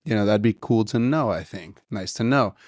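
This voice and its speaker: A man's voice: on the low side, normal speed, a little mellow, dry recording, clean studio sound. A man hosting a podcast, chatting casually and rambling as he reviews a coffee, a little nasal, saying "dude" and "you know" often. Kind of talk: casual solo chat.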